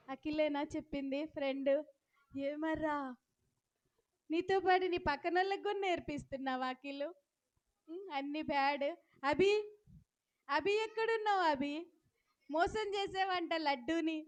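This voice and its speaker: A woman's voice: high-pitched, unhurried, long pauses. A woman hosting a children's puppet show, talking playfully to the children and the puppet and often asking them questions. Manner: instructive, addresses children with questions.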